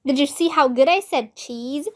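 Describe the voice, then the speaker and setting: A woman's voice: high-pitched, moderate pace, close to an everyday voice. A woman voicing a vain, showy little girl who boasts in a children's storybook. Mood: boastful.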